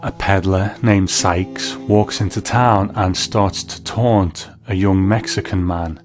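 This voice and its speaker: Male host for scripted review commentary: lowish voice, measured and explanatory, plucked guitar music underneath. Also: steady tone. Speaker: host of a television review show.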